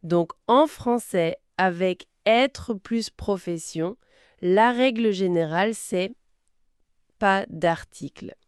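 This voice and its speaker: A woman giving a scripted language lesson, speaking slowly and articulating each phrase for learners to repeat. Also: mid-range voice, no echo, studio quality. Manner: slow, clearly articulated, modelling phrases for repetition. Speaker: French teacher.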